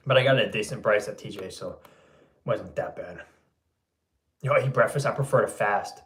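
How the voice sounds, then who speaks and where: A young man hosting a fragrance livestream and reading out viewer comments in an easygoing way, his voice going a little hoarse. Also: fairly low pitch, expressive, moderate pace, pauses often, a bit bright, roomy sound. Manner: laid-back, loose, says bro and man a lot. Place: room at home.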